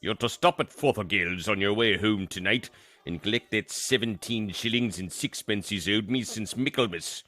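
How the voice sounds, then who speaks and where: A man's voice: fairly low pitch, moderate pace, sharp tone, no room sound. A man playing a miserly, grumpy old businessman in a theatrical radio play, with a curt, scornful, barking delivery. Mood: stern, demanding.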